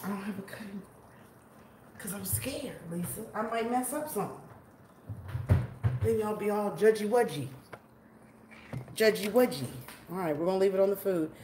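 A woman's voice: medium pitch, moderate pace, long pauses. A woman in her forties hosting a casual live cooking stream in a large home kitchen, chatting with viewers and greeting them by name while she cooks, away from a tripod camera. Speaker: cooking livestream host. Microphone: camera on a tripod, moving around it. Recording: echoey kitchen with faint room noise.

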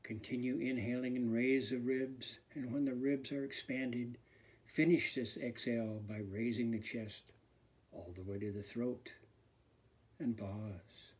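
Male meditation instructor, very slow and hushed, breathy, soothing delivery. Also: medium pitch, steady tone. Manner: slow, soft and soothing, often sinking to a near whisper. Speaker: fitness instructor. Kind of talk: guided meditation.

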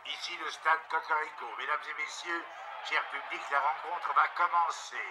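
A man voicing an excited cartoon ringside boxing commentator, announcing with rising urgency. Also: fairly high pitch, moderate pace, over music, natural voice. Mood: building excitement. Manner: breathless announcing, rising to shouts. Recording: loud crowd and noise behind. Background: roaring stadium crowd.

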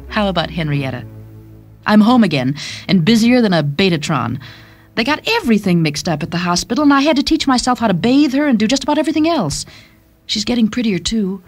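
A woman's voice: medium pitch, natural voice. An actress playing a doting, chatty young mother in a radio drama, confiding and gushing expressively.

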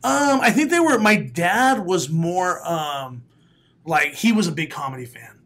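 Comedian guest, male, late 30s, raspy, bright forceful tone, animated talker, studio mic. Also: a little high, unhurried. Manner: animated storyteller, laughs often, bursts into loud announcer calls. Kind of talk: casual podcast interview. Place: small studio room. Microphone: studio mic, headphones.

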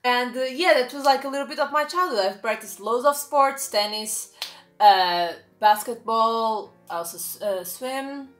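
A woman's voice: fairly high pitch, unhurried, a bit bright, echoey room, soft background music. A young woman from Portugal with a Portuguese accent, chatting casually to camera at home, with lots of fillers and restarts. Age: young adult. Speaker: vlogger. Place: small bright room at home, indoors. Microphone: camera at a few feet.

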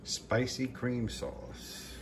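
A man's voice: mid-range voice, very animated, extremely slow. A man co-hosting a cooking video in a home kitchen, chatting casually with appreciative, informal comments. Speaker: co-host of cooking show. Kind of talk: casual chat, short approving comments. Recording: clear sizzling and kitchen noise. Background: frying, sizzling, stirring.

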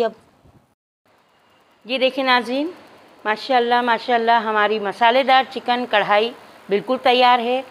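A woman narrating a cooking tutorial step by step, addressing viewers politely. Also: on the high side. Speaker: cooking channel host.

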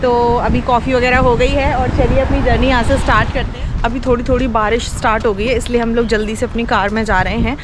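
A woman's voice: fairly high pitch, flat delivery, fast, few pauses. An Indian woman in her thirties, chatting to her phone camera as she tours streets and a beach on a travel vlog. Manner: chatty, pointing out sights to the camera. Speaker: vlogger. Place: outdoors on a street and windy beach. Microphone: phone camera at arm's length. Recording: loud outdoor wind and traffic noise. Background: wind and traffic.

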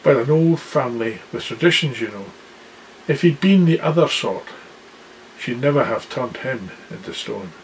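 A man voicing a gentle male fawn who chats in a genteel, gossipy way. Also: mid-range voice, expressive, normal speed, slight background noise, natural voice, poor audio.

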